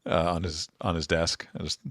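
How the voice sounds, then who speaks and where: Baritone man, podcast co-host, rambly chatty delivery, dry close sound. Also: little pitch movement, normal speed, no echo. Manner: rambling, restarts a lot, laughs often. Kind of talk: casual chat.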